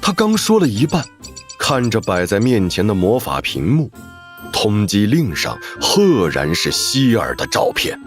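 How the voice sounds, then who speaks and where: Casting: male narrator, low voice with lively swings, dramatic storytelling. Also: unhurried, faint music behind.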